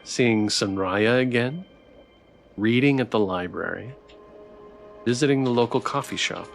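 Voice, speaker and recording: A man's voice: mid-range voice, unhurried, creaky, soft background music. A man streaming a visual novel, reading its text aloud with playful, theatrical commentary and joking asides.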